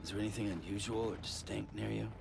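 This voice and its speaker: An actor playing a gruff, guarded courier hero in a cinematic video game, speaking in a blunt, laconic, controlled way. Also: male voice, fairly low pitch, fairly even intonation, fast, background noise.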